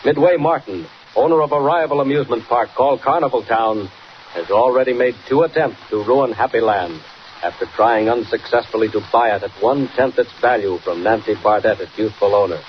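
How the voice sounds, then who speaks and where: A man's voice: medium pitch, fairly quick. Declamatory American male radio announcer. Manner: declamatory, emphatic. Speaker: announcer, narrator. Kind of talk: dramatic 1940s radio serial narration. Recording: muffled, hissy old 1940s radio recording.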